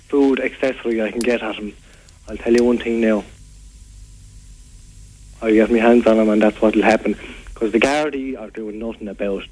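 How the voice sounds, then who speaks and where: A man's voice: lowish voice, a little mellow, slightly rough, poor audio. A man with a County Waterford Irish accent playing an aggrieved, rambling local who earnestly complains as a caller on a radio phone-in. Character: aggrieved, rambling local man fed up with food-throwing youths. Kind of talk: prank call to a local radio show.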